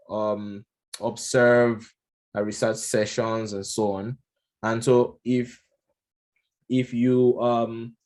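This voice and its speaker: A man's voice: medium pitch, a little mellow. A man with a Nigerian accent giving an unscripted lesson, talking conversationally with plenty of fillers and restarts. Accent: Nigerian English. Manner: conversational, many fillers and restarts, occasional chuckles. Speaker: product management instructor. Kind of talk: casual unscripted lecture.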